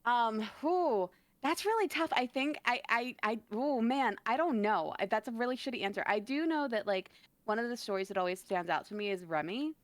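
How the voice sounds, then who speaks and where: A woman's voice: on the high side, lively intonation, normal speed, no echo. A woman chatting casually as a livestream guest, with mock-indignant, playfully stubborn banter and casual swearing. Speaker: livestream guest, podcast voice performer.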